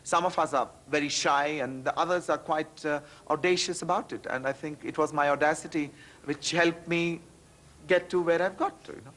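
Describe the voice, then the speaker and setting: A man's voice: fairly high pitch, moderate pace. A man with an Indian accent speaking candidly and animatedly in an interview, often restarting his sentences. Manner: animated, self-deprecating, with frequent restarts.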